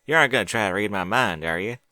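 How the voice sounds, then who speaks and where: A man's voice: on the low side, animated, fast talker, bright, forceful tone, no echo, studio quality. A man voicing a practical, down-to-earth farm pony mare who teases her little sister. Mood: teasing. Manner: teasing, down-to-earth.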